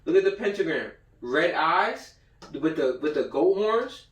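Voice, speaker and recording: A man's voice: on the high side, animated, a little slow. A man giving an informal lecture, reading passages aloud and commenting in blunt, profane slang, repeating himself for emphasis. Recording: roomy echo, far from the mic.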